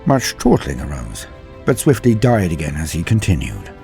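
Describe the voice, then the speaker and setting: A man narrating a scripted story in a solemn, theatrical manner, with deliberate dramatic pauses. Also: extremely low pitch, huge pitch swings, creaky, dark tone. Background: faint music.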